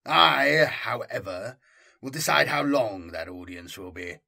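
A man's voice: medium pitch, lively intonation, measured pace, vocal fry, pushed and bright, heavily acted. A man voicing a proud, guarded planetary governor with a stately, formal manner in an audiobook. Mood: imperious. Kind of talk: audiobook character dialogue.

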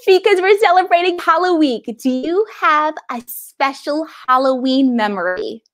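A woman's voice: sits high, animated, moderate pace. A woman in her thirties with an American accent, hosting an interview over a video call in an upbeat, smiling, enthusiastic way. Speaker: library event host.

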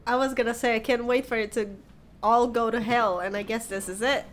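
A woman's voice: a little high, steady tone, a little fast, slight background noise. A young woman in her twenties chatting casually as she reacts aloud to a show, recorded on a close webcam mic. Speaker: reaction streamer. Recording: show audio and music playing behind.

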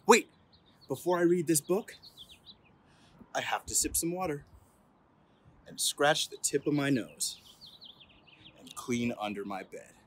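Young male storytime reader, slightly high voice, theatrical and playful, recorded outside. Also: expressive, unhurried, pauses often. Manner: playful, theatrical, emphatic build-ups. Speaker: police officer reading at storytime. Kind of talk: expressive children's picture-book read-aloud. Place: outdoors on an open terrace.

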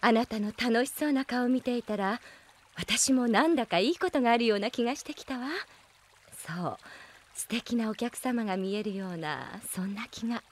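A woman playing a gentle, refined mother in a Japanese fantasy audio drama, speaking tenderly and gracefully. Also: fairly high pitch, moderate pace, natural voice. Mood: playful, tender. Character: gentle, refined young mother, affectionately teasing.

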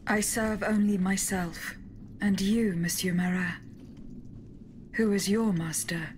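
Game role: poised automaton heroine female actor, measured and terse, mid-range female voice, slight background noise. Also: medium pitch, steady tone, close to an everyday voice. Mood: cool, resolute. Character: poised, resolute female clockwork automaton huntress. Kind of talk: video game cutscene acting.

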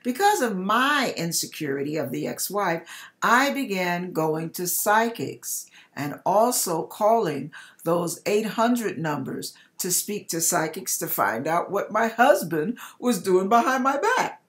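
Slow, measured middle-aged woman. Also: fairly low pitch, very animated. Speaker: host reading a viewer's testimony. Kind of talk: reading a written testimony aloud. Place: home kitchen, indoors.

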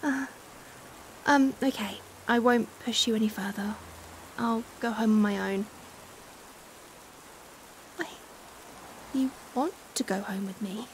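A British woman playing a caring, fussing young stranger in a chatty, expressive ASMR roleplay. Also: on the high side, slow, pauses often, poor audio. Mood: coaxing, flustered. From United Kingdom. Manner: chatty, expressive, giggly hesitations. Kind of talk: acted ASMR roleplay, conversational. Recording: hiss and steady rain sound. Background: rain falling.

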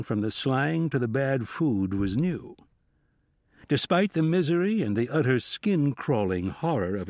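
Male fiction narrator, mid-pitched, very varied intonation, measured storytelling delivery. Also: darkish tone, no room sound, studio quality. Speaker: audiobook narrator. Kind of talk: scripted audiobook fiction narration.

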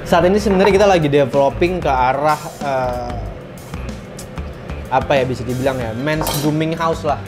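A man's voice: a little high, moderate pace. A young man from Indonesia, a barbershop founder, chatting casually in a home kitchen on a lapel mic, mixing in English business terms and fillers. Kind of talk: casual chat while cooking. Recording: background music over the talk. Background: background music and frying sounds.

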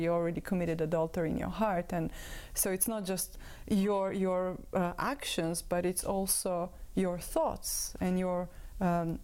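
A woman's voice: lowish voice, darkish tone. Hesitant woman from Croatia. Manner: hesitant, many fillers. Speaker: guest. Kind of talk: personal testimony. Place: room with bookshelves. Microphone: lapel mic.

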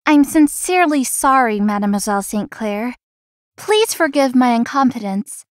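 An actress voices a young female florist for a visual novel, feisty beneath her politeness. Her high voice swings expressively and sounds earnest, recorded close and dry. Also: animated, normal speed, no room sound, natural voice. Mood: polite.

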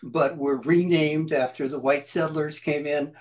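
A man in his sixties hosting an interview in a measured way over a video call on a headset. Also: fairly high pitch, fairly even intonation. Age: older, 60s. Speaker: host interviewer. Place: home room, on a video call.

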